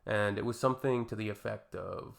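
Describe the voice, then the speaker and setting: A man's voice: lowish voice, a little fast, gravelly. A young Canadian man hosting a reaction video, reading posts aloud and adding dry, sarcastic, exasperated remarks.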